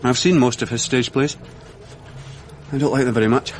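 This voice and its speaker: An actor playing a mild, self-deprecating young academic with a British accent in a radio drama, diffident and drily understated. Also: male voice, mid-range voice, fairly even intonation, moderate pace, a little hiss or hum, lightly acted. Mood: dry, offhand.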